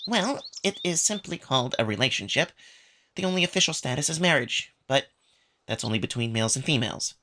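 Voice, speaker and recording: A man's voice: medium pitch, animated, fairly quick, bright, forceful tone. A man voicing a reserved male cat who is polite, coy and a little sly. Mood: guarded.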